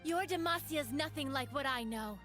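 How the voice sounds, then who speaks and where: An actress voicing a bright, defiant, idealistic young woman mage in video game voice lines. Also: high-pitched, normal speed, faint music behind.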